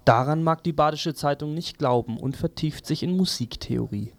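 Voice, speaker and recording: A man's voice: fairly high pitch, moderate pace, no echo. A man presenting a scripted press review on a morning radio show.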